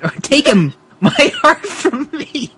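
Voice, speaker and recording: A man's voice: very high-pitched, wildly swooping pitch, takes it slowly, a bit bright, heavily acted. A man voicing a melodramatic, heartbroken woman turned vengeful vampire, wailing in an overwrought comic reading. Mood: spurned, vengeful. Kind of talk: comic dramatic reading of fan fiction.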